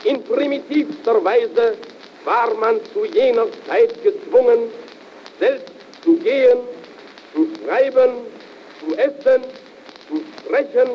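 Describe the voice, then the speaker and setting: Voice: mid-range voice, lively intonation, takes it slowly, pauses often. An actor playing a solemn festive orator from the future, declaiming grandly on an early twentieth-century gramophone record. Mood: solemn, pompous. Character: solemn orator of the year 2000 looking back. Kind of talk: 1900s comic festive speech on record. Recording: crackly, dull 1908 gramophone record.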